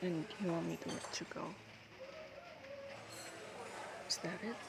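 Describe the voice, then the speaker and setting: A woman with a Filipino accent playing a heartbroken, self-sacrificing girlfriend in an ASMR roleplay, speaking in a halting, tender, pleading way. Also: lowish voice, steady tone. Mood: hurt, resigned. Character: heartbroken, self-sacrificing long-time girlfriend letting her partner go. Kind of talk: ASMR girlfriend roleplay, intimate one-sided dialogue. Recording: clearly noisy background.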